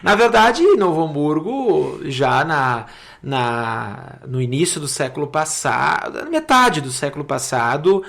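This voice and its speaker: A man with a southern Brazilian accent from Rio Grande do Sul explains things conversationally as an interview guest, haltingly, repeating words and often checking for agreement. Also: on the high side, expressive, gravelly, a bit bright. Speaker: podcast guest, city councillor.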